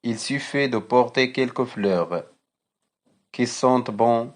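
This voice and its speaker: A man reciting a children's poem for a school lesson, deliberately and with measured phrasing, as a teacher would. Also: medium pitch.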